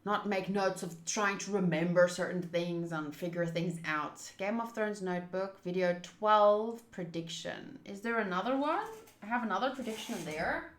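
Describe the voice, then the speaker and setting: A woman's voice: mid-range voice, normal speed, hardly any pauses, echoey room. A woman streaming a visual novel playthrough, talking informally and thinking aloud or reading the game's text. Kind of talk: casual livestream chat and reading game text aloud.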